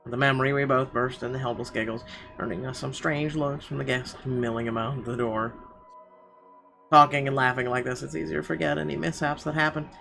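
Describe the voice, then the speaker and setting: A man reads story narration aloud in a creaky, gravelly voice of middling pitch. His delivery is halting and stumbles now and then, with clear guitar music playing behind him. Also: fairly even intonation, pushed and bright. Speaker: gameplay video host. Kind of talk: reading visual novel narration aloud.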